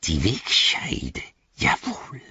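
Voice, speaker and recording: A man voicing a creepy, agitated sneaking creature for an audiobook, hissing and rasping in urgent, clipped, repetitive phrases. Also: sits high, wildly swooping pitch, slow, fairly bright tone, no room sound, lo-fi sound, pitched above the performer's own voice, full character voice. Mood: secretive whispering. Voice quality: hissing, whispery, raspy. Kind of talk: audiobook character voice.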